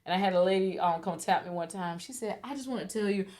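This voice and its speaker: A woman's voice: medium pitch, fast talker. A woman in her thirties, a podcast host chatting casually and acting out the voices in her stories. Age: 30s. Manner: animated storyteller, acts out quoted voices. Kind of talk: casual chat.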